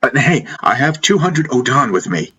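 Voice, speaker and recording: Man acting an urgent, forceful stranded major. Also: mid-range voice, big pitch swings, slightly rough, voice pushed up, exaggerated voice. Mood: insistent, persuasive. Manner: insistent, emphatic, halting when pleading. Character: urgent, commanding male major, stranded soldier bargaining hard. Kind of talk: dramatic audiobook dialogue. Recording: music behind the voice.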